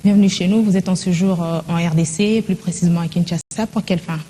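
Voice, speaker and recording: A woman presenting the TV news, reading the bulletin in a measured, formal way and interviewing a guest in the studio. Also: lowish voice, fairly even intonation, dark tone, slightly rough, big-room sound. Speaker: news anchor.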